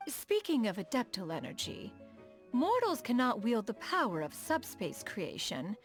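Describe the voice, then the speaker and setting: Regal immortal inventor, female, highish pitch, very animated intonation, formal old-fashioned diction, game dialogue, music underneath. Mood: poised, appreciative. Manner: formal, archaic, lofty diction, speaks of herself as "one". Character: proud, refined immortal adeptus woman, inventor of mechanical contraptions. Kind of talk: scripted video game character dialogue.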